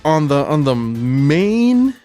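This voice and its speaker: A man's voice: fairly high pitch, sing-song, slow pace, dark tone, faint music behind. A man co-hosting a podcast, chatting casually about movies in a reactive, emphatic way. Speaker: podcast co-host. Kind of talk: casual movie review chat.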